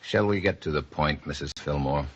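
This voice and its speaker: An actor playing a blind detective captain, composed and courteous, with a measured, wry delivery in old-time radio drama style. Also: male voice, a bass voice, husky, heavily acted. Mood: courteous, guarded. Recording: old radio broadcast with music behind, muffled.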